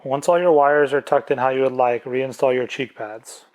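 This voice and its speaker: A man's voice: mid-range voice, fairly even intonation, moderate pace, a little mellow, slight background noise, rough recording. A man presenting an instructional product tutorial, explaining plainly step by step.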